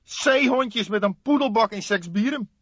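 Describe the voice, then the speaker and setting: A man voicing a scrawny, excitable café owner from the underworld in an audiobook, talking emphatic street slang. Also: sits high, moderate pace, husky, no room sound, pitched above the performer's own voice, heavily acted. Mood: indignant. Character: scrawny indignant small-time underworld café owner, male. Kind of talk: audiobook character acting. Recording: slightly dull, dry studio recording.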